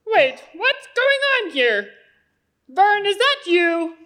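Sensible puppet pal woman performing: high pitch, slow pace, earnest and exclamatory, for kids. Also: very animated, bright, forceful tone, exaggerated voice. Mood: alarmed. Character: sensible, excitable puppet friend who explains lessons. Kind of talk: children's church puppet show.

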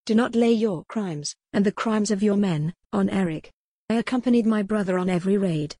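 A woman's voice: medium pitch, moderate pace, mellow, no echo, clean studio sound, close to an everyday voice. A woman voicing a proud, defiant young noblewoman who speaks in an impassioned, accusing way in an audiobook. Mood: fierce. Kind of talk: audiobook character voice.

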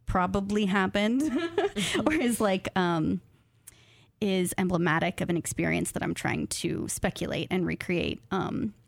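A woman's voice: on the low side, creaky, dry recording. Woman guest chatting thoughtfully, close dry sound. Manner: thoughtful, self-deprecating, laughs easily. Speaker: guest writer, graduate student of creative nonfiction. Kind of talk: casual interview chat.

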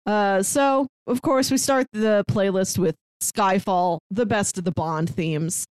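An American woman speaks as a podcast co-host, chatting informally and with enthusiasm. Her pitch sits in the middle range, and the sound is dry, with no room echo. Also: takes it slowly, clean tone. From St. Louis, United States. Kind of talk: casual pop-culture recap chat.

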